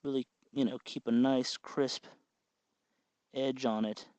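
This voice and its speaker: A man's voice: mid-range voice, fairly even intonation, slow, darkish tone, no room sound. A man hosting a hands-on tutorial, explaining step by step in an informal, casual way. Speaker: tutorial host.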